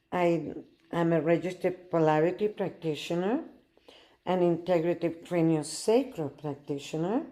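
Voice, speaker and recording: Lady coach chatting slow on a podcast, lowish voice, laughs softly. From Chile. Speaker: podcast co-host, life coach. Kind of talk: casual podcast chat.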